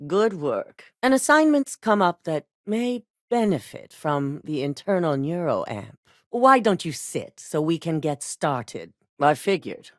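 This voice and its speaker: An actress voicing a cool, calculating female corporate executive in a game, speaking in a composed, measured, authoritative way. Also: deep voice, no room sound, clean studio sound, full character voice. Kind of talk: game voice acting.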